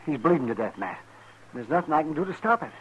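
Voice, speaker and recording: An American actor playing a blunt, weary frontier town doctor in a radio western, muttering in a folksy, drawn-out way. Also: male voice, on the high side, big pitch swings, a bit bright, low-quality recording. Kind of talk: 1950s radio acting. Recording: hissy 1950s radio recording.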